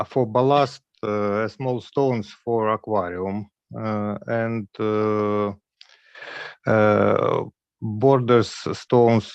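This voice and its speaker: Hesitant Ukrainian man, slow and gravelly. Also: lowish voice, dry recording. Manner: hesitant, many pauses and fillers, nervous. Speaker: guest modeler. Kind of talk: halting show-and-tell on a video call. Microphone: video call. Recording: dull lo-fi call audio.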